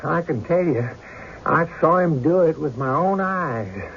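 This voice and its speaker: An actor playing a stubborn, excitable rural card player in folksy country talk for an old-time radio drama. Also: male voice, on the high side, measured pace, slight background noise. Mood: alarmed, insistent. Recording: dull lo-fi radio recording with music.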